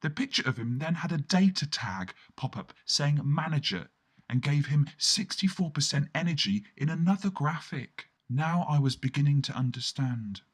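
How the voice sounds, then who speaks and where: A man narrates a surreal scripted radio monologue in the first person. His voice sits a little high and swings widely in pitch. The delivery is theatrical, breaking into colloquial asides and exclamations. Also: expressive, normal speed.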